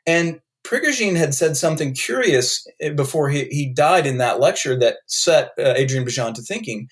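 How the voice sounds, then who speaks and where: A man with a North Carolina accent, a guest author explaining his ideas in a podcast interview, thoughtful and full of restarts. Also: medium pitch.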